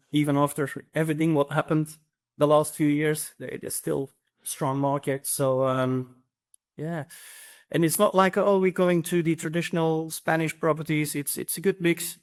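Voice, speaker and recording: A man's voice: a little high, smooth. A middle-aged man from Belgium with a Flemish accent, answering interview questions casually with lots of ums and restarts. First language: Dutch. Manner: hesitant, many ums and restarts. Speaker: real estate agency CEO.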